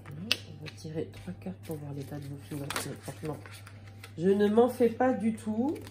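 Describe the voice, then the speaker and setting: A woman's voice: lowish voice, lively intonation, moderate pace, background noise. A woman with a slight cold giving a casual tarot reading to her viewers, talking in a hesitant way with many fillers and restarts. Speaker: tarot card reader.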